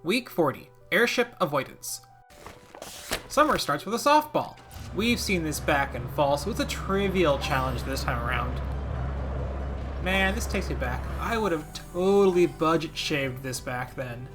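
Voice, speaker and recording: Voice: mid-range voice, lively intonation, unhurried, over music. A video game commentator narrating a scripted gaming video with wry, playful asides.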